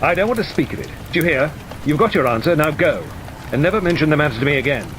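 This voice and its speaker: A man's voice: on the high side, fast, pitched above the performer's own voice, lightly acted. A man playing a bitter, proud middle-aged Englishman. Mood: agitated. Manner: resentful, intense confession. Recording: steady rain noise behind.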